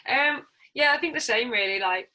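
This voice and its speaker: A woman's voice: a little high, lively intonation, normal speed, pushed and bright. A woman, a footballer answering interview questions in a friendly, upbeat way. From Leeds, England. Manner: friendly, upbeat, fills with "um" and "yeah". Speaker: professional footballer, guest. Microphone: video call.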